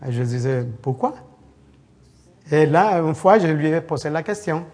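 A man's voice: a little high, lively intonation, darkish tone. A man from El Salvador preaching an informal sermon to a small congregation, telling stories with frequent restarts and searching for words. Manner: halting, many restarts, storytelling.

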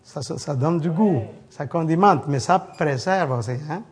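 Man, from El Salvador, preacher, halting informal sermon, somewhat high voice. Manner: halting, many restarts, storytelling.

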